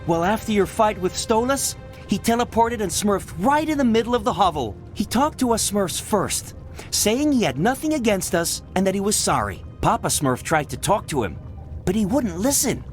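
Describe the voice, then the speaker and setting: A woman's voice: mid-range voice, very animated, moderate pace, soft background music, close to an everyday voice. A woman playing a small, brave and determined cartoon creature in a video game, earnest and urgent. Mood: worried. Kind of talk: video game cutscene acting.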